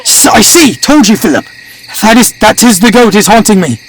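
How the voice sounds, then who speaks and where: A man's voice: sing-song, moderate pace, slight background noise. A man voicing a terrified, haunted male gunman who exclaims in alarm in a horror story. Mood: agitated disbelief. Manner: high-strung, alarmed exclaiming. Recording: distant mic with music behind.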